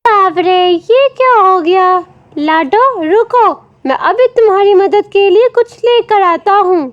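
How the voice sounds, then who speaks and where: A woman's voice: very high-pitched, slow, muffled recording, voice pushed up. A woman voicing a friendly, concerned male crow in a cartoon, earnest and exclamatory. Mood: alarmed.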